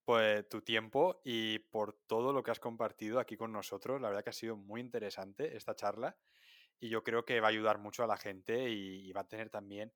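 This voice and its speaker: Calm Spanish male podcast host. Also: lowish voice, normal speed, no echo, clean studio sound. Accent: Spanish from Spain. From Spain. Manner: calm, affirming, thanking the guest. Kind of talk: interview host.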